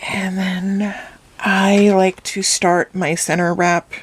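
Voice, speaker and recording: A woman explaining a craft step by step in a calm, instructive way, her voice slightly hoarse from a cold. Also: mid-range voice, takes it slowly, a little hiss or hum. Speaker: craft tutorial host. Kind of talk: step-by-step craft tutorial voice-over.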